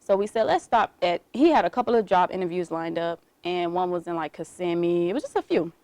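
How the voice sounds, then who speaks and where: A woman from Miami, a guest esthetician, talking in a relaxed, chatty way with many fillers. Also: on the low side, a little fast, no echo. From Miami, South Florida. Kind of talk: casual interview chat.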